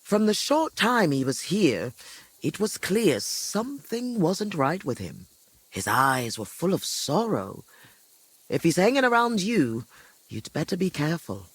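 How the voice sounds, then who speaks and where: A woman's voice: on the low side, very animated, measured pace, slightly rough, no room sound, full character voice, poor audio. An actress playing a chatty, kindly old village granny in a video game, rambling and hesitating as she talks. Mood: concerned, warning. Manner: rambling, hesitant recollection with frequent second thoughts. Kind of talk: video game dialogue acting.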